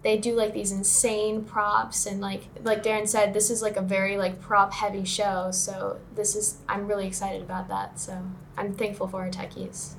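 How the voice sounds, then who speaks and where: A young woman, a student actor, talking hesitantly in a casual chat and often pausing on "like" and "um". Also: medium pitch, flat delivery, slight background noise. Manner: hesitant, full of "like" and "um".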